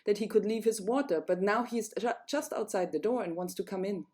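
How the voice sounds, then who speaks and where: A woman's voice: medium pitch, fairly quick, darkish tone, natural voice. A woman voicing a spoiled young fairy-tale princess, dismissive and easily disgusted. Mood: frightened disgust.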